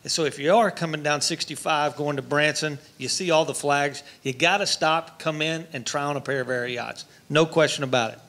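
A middle-aged man giving a brisk product pitch for boots on a studio talk set. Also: fairly even intonation, bright, forceful tone. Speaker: boot retailer. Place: diner-style TV studio set with audience.

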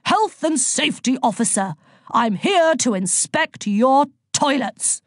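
A huge, officious female inspector from a comic storybook, acted out by a woman narrator. She barks and booms in a raised, indignant voice, recorded close and dry. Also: expressive, takes it slowly, dry recording, exaggerated voice. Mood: booming, officious. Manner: booming, barking, indignant.

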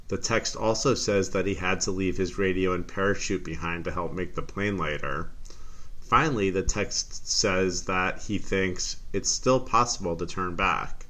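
A man explaining an assignment as a teacher, in a deliberate, careful manner. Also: sits low, pushed and bright. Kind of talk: instructional screen explanation for students.

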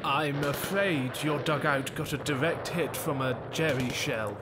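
Slow-paced war-weary army captain played by a man. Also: a little high, steady tone, close to an everyday voice. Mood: alarmed, urgent. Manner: measured, reassuring, formal. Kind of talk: audio drama acting. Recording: faint battlefield noise behind. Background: artillery fire and battlefield ambience.

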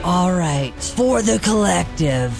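Vampire cult convert, slow-spoken, hungry, very expressive, radio play. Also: female voice, fairly low pitch, creaky, faint music behind, exaggerated voice. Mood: torn, hesitant. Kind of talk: radio horror-comedy acting.

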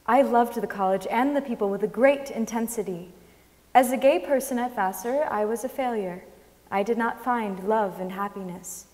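A woman's voice: on the high side, darkish tone. A woman giving a dramatic reading from the stage of a concert hall. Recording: concert hall with long reverb.

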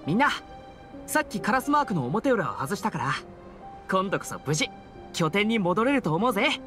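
A man's voice: high voice, sing-song, moderate pace, over music. A man playing an unlucky but hopeful teenage boy adventurer in an anime game, with a youthful voice and earnest, casual delivery. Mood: upbeat, explaining. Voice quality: youthful, boyish. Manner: earnest, upbeat, casual boyish speech. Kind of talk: Japanese anime game voice acting.

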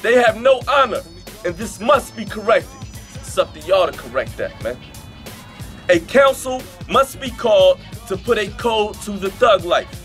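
A man's voice: high voice, sing-song, measured pace, fairly bright tone, faint music behind. A man speaking and reading emphatically to a gathering outdoors, in the declamatory manner of a preacher. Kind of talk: impassioned public address and declamatory reading. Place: outdoors in a park by a statue. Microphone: camera a few metres away.

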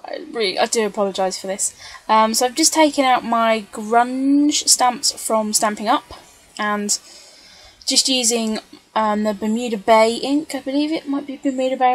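A woman's voice: a little high, poor audio. A woman giving a casual, chatty, rambling voice-over for a craft video. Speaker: scrapbooker narrating craft video.

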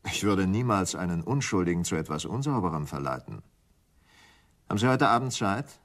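A husky, suave male schemer. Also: deep voice, expressive, moderate pace, a bit bright, exaggerated voice. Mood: suave, persuasive. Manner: polite, suave, insinuating. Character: smooth, furtive scheming gentleman with a shady offer. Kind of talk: German radio crime drama acting.

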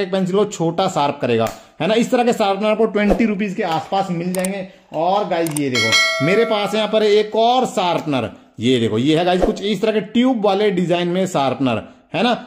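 A man's voice: high-pitched, a little mellow. A man presenting items hands-on in a casual review style, often addressing viewers directly with short check-in tags. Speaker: toy review host. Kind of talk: casual hands-on toy showcase.

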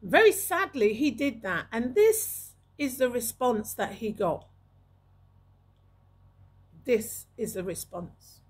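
A woman's voice: on the high side, animated, slow, pauses often, breathy edge. A middle-aged woman talking to camera as a video host, giving emphatic advice and repeating phrases for weight, close on a studio microphone. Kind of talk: advisory talk to camera. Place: small indoor room. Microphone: large studio microphone with pop filter, close.

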